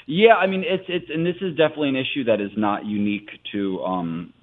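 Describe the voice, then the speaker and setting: A man in his thirties with an American accent, giving animated, earnest answers as a theater guest in a conversational interview. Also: mid-range voice, fast. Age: 30s. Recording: tinny phone-like sound.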